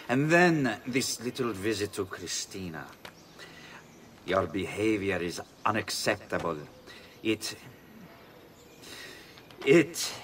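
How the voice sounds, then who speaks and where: A middle-aged noble father for a video game. The voice is deep and authoritative, heard slightly distant over faint ambient noise. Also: fairly bright tone. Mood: stern, then amused, fond. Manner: measured, authoritative. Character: stern but fond middle-aged Italian nobleman father. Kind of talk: video game character acting.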